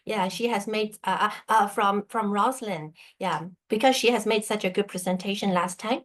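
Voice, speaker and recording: A woman's voice: medium pitch, moderate pace, fairly bright tone. A middle-aged woman, born in Burma and raised in Hong Kong, talking casually to an online group as a guest presenter over a video call. She often stops to ask her listeners "okay?". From born in Burma, grew up in Hong Kong. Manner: checks in with listeners by asking "okay?".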